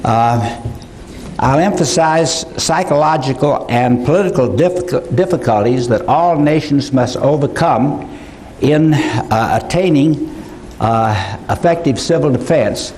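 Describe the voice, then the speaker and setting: Slow, halting older man lecturing. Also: medium pitch, lively intonation, darkish tone, slight background noise, low-quality recording. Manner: slow, halting delivery with frequent fillers. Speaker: conference speaker. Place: conference hall.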